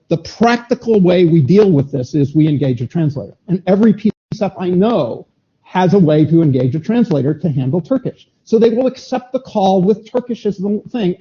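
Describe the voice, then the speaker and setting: Husky, higher-pitched male speaker, halting delivery, lo-fi meeting audio. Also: warm tone. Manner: halting, repeats words as he restarts. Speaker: meeting participant. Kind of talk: technical discussion at a standards meeting. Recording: dull lo-fi conference room audio.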